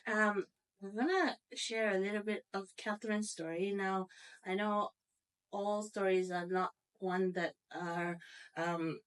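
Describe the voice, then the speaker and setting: A woman's voice: medium pitch, fairly even intonation, unhurried, smooth, rough recording. A woman hosting a panel conversation, introducing guests and putting questions to them. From born outside the US. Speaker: guest host of a workplace panel.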